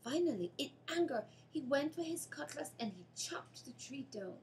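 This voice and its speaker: A woman's voice: sits high, big pitch swings, moderate pace, a little mellow, a little hiss or hum, poor audio. A Jamaican woman reads a children's story aloud, narrating expressively.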